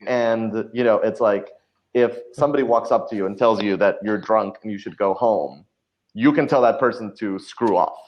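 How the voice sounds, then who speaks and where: A man's voice: fairly low pitch, normal speed. A man giving thoughtful, explanatory advice as a guest in a conversational podcast interview. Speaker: podcast guest, audio drama writer. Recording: dull lo-fi audio.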